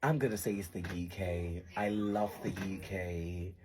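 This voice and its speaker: A young man being interviewed as a guest, giving short, easygoing answers in a soft-spoken way. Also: low-pitched, fairly even intonation, a little slow. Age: young adult. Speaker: guest performer.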